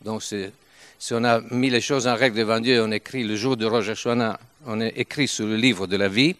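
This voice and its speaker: An Italian man in his seventies preaches in a halting, unhurried way, often pausing and restarting. His voice sits a little low, with a strong Italian accent. The sound is dry and close, with no room echo. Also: steady tone, a bit bright, smooth. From Italy. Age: 70s. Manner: halting, with frequent restarts and pauses. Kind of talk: informal sermon.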